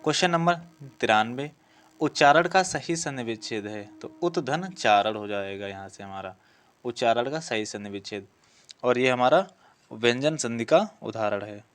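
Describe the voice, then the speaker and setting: A man's voice: mid-range voice, lively intonation, normal speed, clear, resonant tone. A man giving an exam-prep lesson as a teacher, reading out practice questions and explaining the answers as he goes. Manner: explanatory, asks questions then answers them. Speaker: Hindi grammar teacher for police exam prep.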